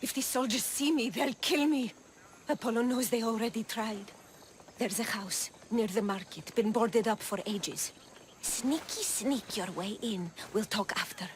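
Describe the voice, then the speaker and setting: Woman acting a hushed, cryptic, furtive informant. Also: fairly high pitch, unhurried, a little hiss or hum, lightly acted. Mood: nervous, sly. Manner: hushed, secretive, teasing. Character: cryptic, furtive female informant, sly and fearful. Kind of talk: video game cutscene acting.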